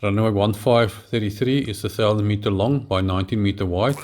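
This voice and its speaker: A man reading a measured, factual travel voice-over as a pilot narrator. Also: lowish voice, darkish tone, slight background noise. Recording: voice-over with music behind.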